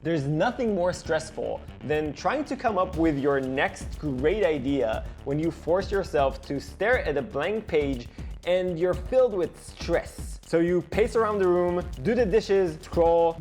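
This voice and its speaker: Male animation director hosting his devlog: earnest, expressive read, somewhat high pitch, faint music underneath. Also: measured pace. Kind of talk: scripted devlog narration.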